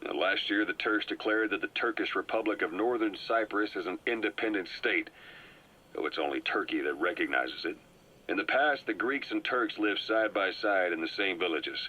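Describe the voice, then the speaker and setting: A calm, briefing field agent. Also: male voice, sits low. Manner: measured, explanatory briefing. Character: calm, well-informed male agent briefing a comrade. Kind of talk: video game cutscene voice acting. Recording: muffled cassette tape audio.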